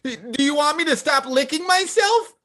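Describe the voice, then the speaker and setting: A man's voice: very high voice, animated, moderate pace, bright, forceful tone, slight background noise, pitched above the performer's own voice, exaggerated voice. A man voicing a trembling, cowardly cartoon dog who whimpers and pleads. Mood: fearful pleading.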